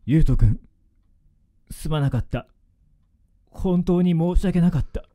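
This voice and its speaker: A man's voice: on the high side, measured pace, mellow, lightly acted. A man playing a humble, remorseful middle-aged father in a Japanese radio drama. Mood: apologetic.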